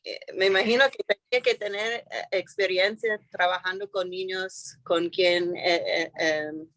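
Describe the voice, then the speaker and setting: A woman's voice: mid-range voice, steady tone, measured pace, a bit bright, no room sound. A woman whose first language is English, speaking with an American accent as an interview guest, hesitant with many fillers and restarts. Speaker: school founder and director. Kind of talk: interview guest telling a story.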